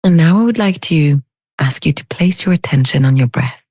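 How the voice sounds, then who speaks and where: Female meditation teacher, low mellow voice, very measured delivery, close dry sound. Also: animated, husky, no room sound. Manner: gentle, unhurried, with long pauses. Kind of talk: guided meditation.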